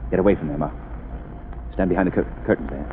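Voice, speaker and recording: A man playing a calm, wealthy amateur sleuth in a 1930s radio drama, measured and commanding. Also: deep voice, lightly acted. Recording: tinny old radio broadcast with faint hiss.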